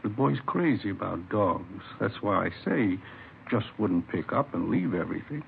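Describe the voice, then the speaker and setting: An actor playing a worried, ailing grandfather in his late sixties, plaintive and halting, in vintage radio drama style. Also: fairly low pitch, lively intonation. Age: 60s. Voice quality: creaky, gravelly. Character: worried, ailing 68-year-old grandfather of missing boy. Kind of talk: 1950s radio drama acting. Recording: tinny, hissy old radio recording.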